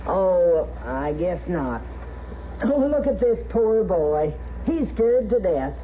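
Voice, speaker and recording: Gold-digging Western fiancée: American, coy, gushing, unhurried, pushy. Noisy vintage radio-drama sound. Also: female voice, lowish voice, very animated. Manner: gushing, sugary endearments, pushy. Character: coy, scheming, gold-digging mail-order bride in frontier town. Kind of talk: 1950s radio western acting. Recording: dull, noisy 1950s radio recording.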